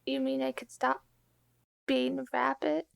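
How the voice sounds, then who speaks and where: Lady doing a really high creaky little rabbit girl, stubborn, homesick, pleading, tabletop game. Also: level tone, heavily acted. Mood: defiant. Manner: pleading, halting.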